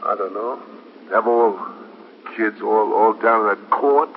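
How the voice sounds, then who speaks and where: An American actor playing a stubborn, defensive middle-aged father in a 1950s radio drama, halting and repeating himself. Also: on the low side, natural voice. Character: stubborn, defensive father of a teenage boy, shaken. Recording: muffled, hissy 1950s radio recording.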